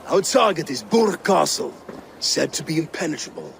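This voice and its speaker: A man voicing a loyal Viking ally and battle planner in a game cutscene, speaking in an earnest, steady way. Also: on the high side, moderate pace, gravelly, slight background noise. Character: loyal male Viking ally, calm strategist. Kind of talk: video game cutscene acting.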